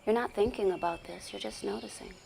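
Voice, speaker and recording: A woman leading a guided meditation in a soothing, deliberate way with long pauses, her soft voice often sinking toward a whisper. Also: mid-range voice, vocal fry, slight background noise, low-quality recording. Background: music.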